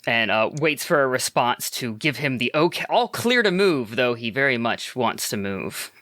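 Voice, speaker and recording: Lively, bright-voiced male player. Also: medium pitch, normal speed, dry recording, studio quality. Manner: hesitant, thinking aloud. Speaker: player guest. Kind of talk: playing a tabletop game.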